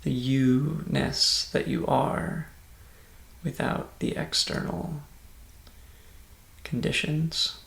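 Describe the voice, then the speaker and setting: A man leads a guided meditation in a very creaky, gravelly voice. He speaks softly and very slowly, soothing and close to a whisper at times. The voice sits in a roomy, echoing space. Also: mid-range voice. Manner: soft-spoken, breathy near-whisper at times, calm and soothing.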